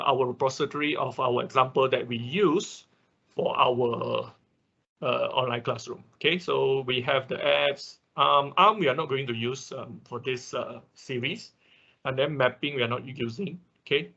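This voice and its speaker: A male instructor with a bright, forward voice and a creaky edge walks through a screen-share tutorial. He goes step by step, haltingly, and keeps tagging his points with a quick check. The sound is slightly dull, like online class audio. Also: mid-range voice. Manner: halting, step-by-step, often ending on a checking "right?".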